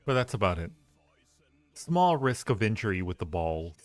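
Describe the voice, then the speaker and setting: A low-pitched male livestream host chats casually in a playful, teasing way, often rambling and circling back over phrases. The sound is slightly roomy, with faint music playing behind him. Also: very animated, moderate pace. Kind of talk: casual livestream chat and ranking commentary.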